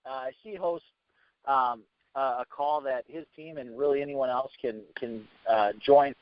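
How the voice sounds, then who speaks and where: Halting man on a muffled conference call. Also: mid-range voice, dry recording. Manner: halting, repetitive, hesitant phrasing. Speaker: sales team leader hosting a training conference call. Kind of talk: informal motivational business talk.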